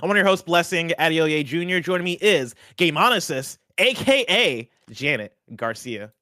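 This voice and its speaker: A young man co-hosting a casual gaming show, joking and bantering with quick, animated delivery. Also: on the high side, no echo, studio quality. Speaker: podcast co-host. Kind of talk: casual chat.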